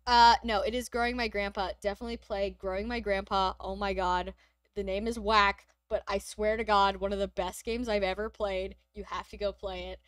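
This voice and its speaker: A woman co-hosting a game stream in casual chat, hoarse from nearly losing her voice, chatty and quick to giggle. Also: mid-range voice, little pitch movement, no room sound. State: nearly lost voice, sore throat. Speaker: streamer co-host.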